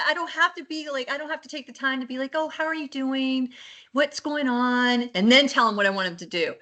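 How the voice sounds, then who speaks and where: A woman co-host chats informally about tech with clear enthusiasm. Her voice is bright and punchy, sitting a bit high in pitch. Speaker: co-host of a tech discussion show. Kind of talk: casual conversational chat.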